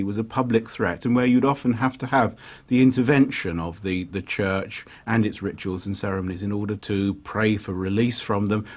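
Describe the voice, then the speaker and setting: A man explaining things in an earnest reflective commentary. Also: mid-range voice. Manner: earnest, explanatory, some restarts.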